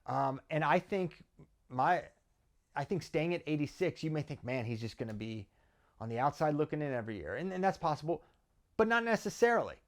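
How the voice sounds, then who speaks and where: A man's voice: fairly high pitch, sing-song, brisk. A man with an American accent giving conversational sports analysis as a host, often posing questions and answering them himself. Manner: argues through rhetorical questions and tag questions. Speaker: wrestling commentator and host.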